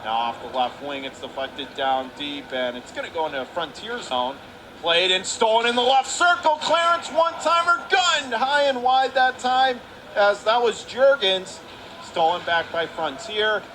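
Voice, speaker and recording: A man calling live ice hockey play-by-play on the radio in clipped phrases, getting excitable on big plays. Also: sits high, a little slow, a crowd in the background. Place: indoor ice hockey arena. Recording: clear rink noise behind the voice.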